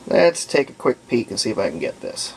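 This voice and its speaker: A man's voice: medium pitch, big pitch swings, fairly quick, darkish tone. A man giving casual, unscripted workbench commentary, thinking aloud as he works through a hobby restoration. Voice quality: gravelly, creaky. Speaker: hobbyist restorer presenting a repair video. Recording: clear background noise.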